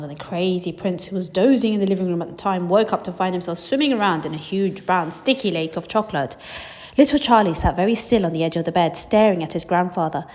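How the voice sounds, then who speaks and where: A woman's voice: fairly low pitch, big-room sound. A woman reading a children's story aloud expressively, as a teacher hosting a read-aloud.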